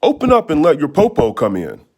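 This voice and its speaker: A man voicing a cunning old storybook wolf who pretends to be a kindly grandmother and coaxes in drawn-out words. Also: fairly high pitch, huge pitch swings, a little mellow, slightly rough, pitched above the performer's own voice, exaggerated voice. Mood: coaxing, sly.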